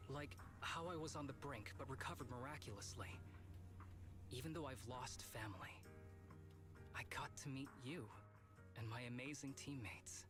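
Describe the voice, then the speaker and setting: A male actor plays a young game agent with a laid-back, wry streak and a reflective core. He speaks softly and in a measured, earnest way at a medium pitch, with music clearly playing behind him. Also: natural voice. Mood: earnest, accepting. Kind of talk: scripted video game dialogue.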